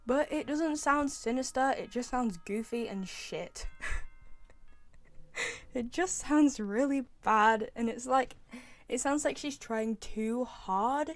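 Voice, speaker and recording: A woman's voice: on the high side, slow, no room sound. A young woman giving casual, opinionated fan commentary on a game, chatty and repeating phrases for emphasis. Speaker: video creator, game fan.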